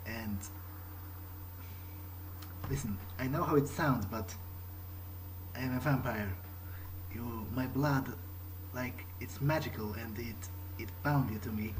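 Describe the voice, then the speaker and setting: A man improvising in-character narration for a game playthrough, speaking in a halting, understated, thoughtful way. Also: medium pitch, a little hiss or hum, low-quality recording. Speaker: gaming video creator roleplaying a character. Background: game music.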